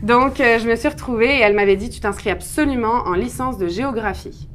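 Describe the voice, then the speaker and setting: A woman's voice: on the high side, a little fast. A woman in her thirties from France with a French accent, talking at length in a conversational, self-deprecating way as an interview guest. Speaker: interview guest, urban planner. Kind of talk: conversational interview, personal storytelling.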